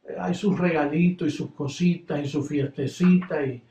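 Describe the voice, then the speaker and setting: Man, Puerto Rican accent, preacher, high voice, echoey room. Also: moderate pace. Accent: Puerto Rican Spanish. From Puerto Rico. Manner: fervent preaching, then relaxed chatty talk. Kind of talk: sermon closing and farewell.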